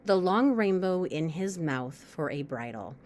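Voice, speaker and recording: Low, lilting woman reciting a poem. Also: animated, a little slow, a little mellow, a little hiss or hum.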